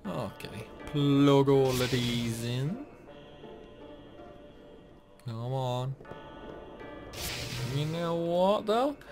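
A man talks through a video game playthrough in a casual, rambling way. His pace is slow and unhurried, and he drifts into grumbling asides. Game music plays clearly behind his voice. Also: on the high side. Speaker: gaming video host.

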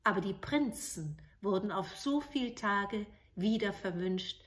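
A woman's voice: medium pitch, expressive, slow, a bit bright. A middle-aged woman narrating a fairy tale expressively, as a storyteller. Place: indoors, living room with books.

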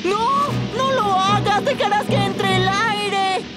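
Cartoon know-it-all boy: Latin American Spanish, unhurried, dismissive, very high, music underneath. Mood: alarmed. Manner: boastful, dismissive. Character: cocky, stubborn know-it-all young boy. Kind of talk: animated children's cartoon dub. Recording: music behind the voice.

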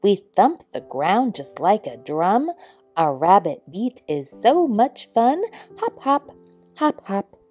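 A woman's voice: medium pitch, sing-song, slow pace, darkish tone, breathy edge, exaggerated voice. Woman as a playful, bouncy little rabbit. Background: background music.

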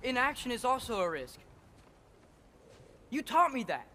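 Teenage boy, very high voice, lively intonation, earnest, insistent, video game drama. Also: measured pace, heavily acted. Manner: pleading, insistent. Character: earnest, questioning teenage son of a warrior. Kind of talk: cinematic video game acting.

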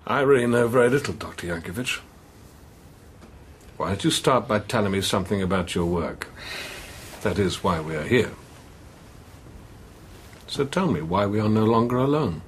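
Actor as thoughtful, doubting priest, noisy background. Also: male voice, on the low side, lively intonation, moderate pace, pauses often, natural voice. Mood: guarded, probing. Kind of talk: naturalistic radio drama acting.

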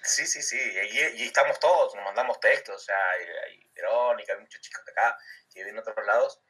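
A man's voice: lowish voice, fairly quick, bright, forceful tone, slightly rough, far from the mic, low-quality recording. A middle-aged Argentine man chatting as an interview guest, stumbling and repeating words as he thinks aloud, and laughing easily. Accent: Argentine Spanish. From Argentina, Comodoro Rivadavia. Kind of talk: casual chat.

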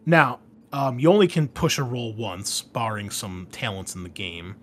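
A man's voice: medium pitch, big pitch swings, moderate pace, fairly bright tone. A man casually explaining tabletop game rules as a host, talking informally and directly to the listener. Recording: noticeable background noise.

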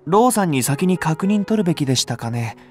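An actor playing a gentle, patient young male nursery teacher, speaking in a soft, soothing way. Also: a little high, very animated, a little fast, faint music behind. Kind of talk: anime voice drama acting.

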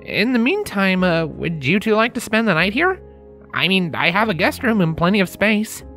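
Woman acting friendly young stallion, lively and casual. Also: medium pitch, moderate pace, soft background music, voice pushed up. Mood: friendly, upbeat. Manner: casual, earnest, hesitant with filler words. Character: young male unicorn stallion, friendly, excitable tinkerer.

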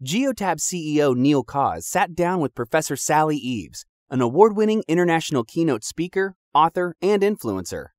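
Man announcer, slightly higher voice, dry intro read. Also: very animated, normal speed, few pauses, dry recording. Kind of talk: scripted narration.

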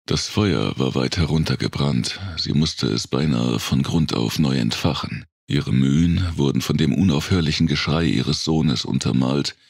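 Super deep gravelly guy reading a dark thriller audiobook, dry close sound. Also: no room sound. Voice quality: gravelly, husky. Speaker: audiobook narrator.

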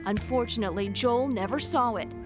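A woman reads a scripted news package as the reporter. Her voice sits on the high side, with music playing clearly behind her. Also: brisk. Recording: music underneath.

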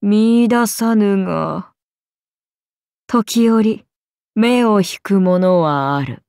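Haughty serpent goddess for a game, super slow drawl, imperious n menacing, dry close sound. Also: female voice, medium pitch, vocal fry, a little mellow, no room sound. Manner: imperious, slow, archaic and languid speech. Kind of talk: video game character voice lines.

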